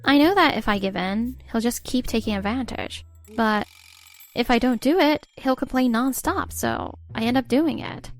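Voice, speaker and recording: A high female voice acted by a woman plays a worn-out young mom in a manga dub. She is timid and quietly frustrated as she narrates her domestic troubles. Light music plays underneath. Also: moderate pace, natural voice. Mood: weary, frustrated. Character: weary young mother and nurse, timid, quietly frustrated wife.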